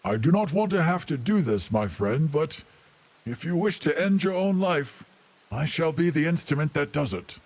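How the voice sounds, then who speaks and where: A man's voice: a little high, expressive, moderate pace, a little mellow, pitched above the performer's own voice. A man voicing a solemn fantasy warrior with stately, formal diction in a tabletop game. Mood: grave warning. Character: solemn, formal male warrior offering parley and warning.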